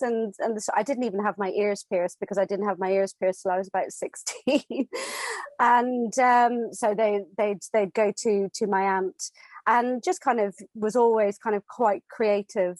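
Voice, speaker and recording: A woman in her forties from Dublin, speaking English with no Irish accent, telling her story as a podcast guest, halting and full of fillers. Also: mid-range voice, a little mellow, dry recording. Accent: none; anglicised English. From Ireland, Dublin. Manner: hesitant, many fillers and restarts. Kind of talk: casual interview chat.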